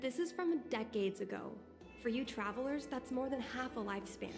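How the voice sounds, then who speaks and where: A woman's voice: fairly high pitch, expressive, moderate pace. An actress playing an eager, pleading young girl in a video game who is curious about secrets. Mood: dismissive. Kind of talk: game voice acting. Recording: game music behind.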